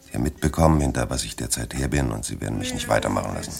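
An actor playing a weary, fearful but dogged middle-aged crime writer, brooding and wry, in a German radio drama. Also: male voice, extremely low pitch, lively intonation, racing, full character voice. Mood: grim, afraid. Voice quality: gravelly.

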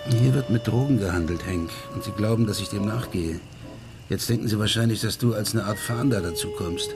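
An actor playing an unruffled, worldly middle-aged former policeman, talking calmly and wryly in a radio crime drama. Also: on the low side, brisk, noisy background, natural voice. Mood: calmly explaining. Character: unruffled former Amsterdam policeman living abroad, worldly and wry.

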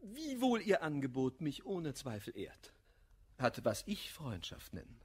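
An actor playing a stiffly polite but blunt and irascible gentleman in a classical verse comedy for radio, guarded and scornful of flattery. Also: a little high, a little slow. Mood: stiffly reserved. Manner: guarded, dry, exasperated.

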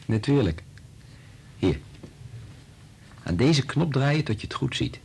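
A man playing a kind, patient scientist in a radio drama, speaking in a gentle, reassuring, informal way. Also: medium pitch, very animated, slow, vocal fry, husky, full character voice. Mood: patient, explaining.

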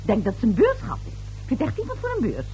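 A high-pitched, wildly animated gossipy neighbour in a radio drama. She prattles quickly and self-importantly, full of small indignation. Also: soft background music. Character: chatty, gossipy, self-satisfied female neighbour who drops in.

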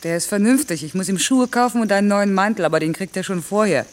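A woman's voice: mid-range voice, quick pace, close to an everyday voice. An actress playing a proud, reserved working mother in a German radio play, modest and guarded. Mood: modest, grateful. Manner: guarded, modest, prickly when pressed.

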